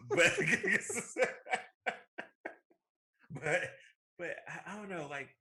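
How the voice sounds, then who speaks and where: A man's voice: a little high, big pitch swings, very slow, pushed and bright. A man in his thirties co-hosting a casual show, talking informally and often circling back to repeat himself. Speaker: co-host of a movie and video game show. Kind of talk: casual conversational banter.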